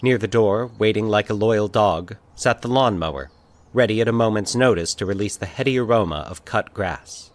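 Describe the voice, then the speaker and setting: A man from Colorado with an American accent, narrating a scripted short story in a measured, literary way. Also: fairly low pitch. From Colorado, United States. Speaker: fiction podcast narrator.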